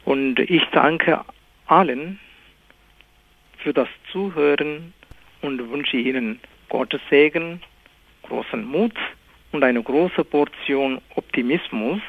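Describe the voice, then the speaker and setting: Soft-spoken priest over a thin line. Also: male voice, mid-range voice, slow, gravelly, pauses often. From India, Kerala. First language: Malayalam. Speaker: priest, guest. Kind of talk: radio interview. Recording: narrow phone-like radio sound.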